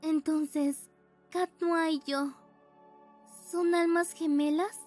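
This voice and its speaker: A woman's voice: very high-pitched, fairly even intonation, drawn-out words, a little mellow, soft background music, heavily acted. A woman playing an earnest, anxious teenage heroine in a fan dub, hesitant, with a Latin American accent.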